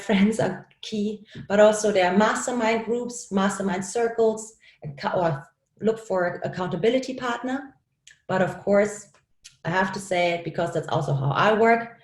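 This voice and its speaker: A woman's voice: mid-range voice, roomy sound. A woman with a German accent giving an upbeat, encouraging coaching talk over a video call, laughing often as she speaks.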